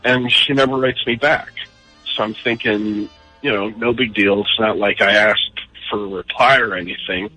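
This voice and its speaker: A man's voice: fairly low pitch, steady tone, moderate pace, bright, forceful tone, low-quality recording. A man calling in to a radio show, telling a personal story casually in a hesitant, self-deprecating way. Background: background music.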